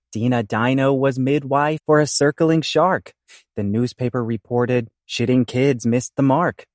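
A man narrates a comic audio play in theatrical rhymed verse. His pitch is medium, and the recording is dry with no room sound. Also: measured pace, dry recording. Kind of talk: theatrical rhyming scripted narration.

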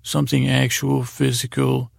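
A man with a gravelly, creaky voice hosting a podcast, delivering a meandering, stammering bedtime monologue that acts out inner voices. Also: mid-range voice, level tone, moderate pace, dry recording, clean studio sound. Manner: meandering, stammering, repeats and trails off. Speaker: podcast host.

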